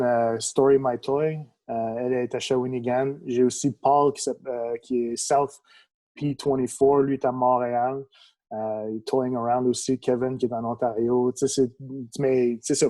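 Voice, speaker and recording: A man's voice: medium pitch, poor audio. A young adult man with a Québécois accent, native English speaker, chatting casually as a podcast guest, with lots of fillers and restarts. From Quebec. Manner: relaxed, many fillers and restarts. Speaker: guest, toy photographer. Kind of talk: casual conversation.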